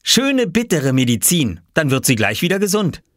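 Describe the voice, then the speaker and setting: A bossy little boy from a children's storybook, acted out by a man reader. The voice sits well above an adult man's, lively and swooping. He is earnest and self-important, commanding like a big brother. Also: a little high, normal speed, dry recording, studio quality, pitched above the performer's own voice.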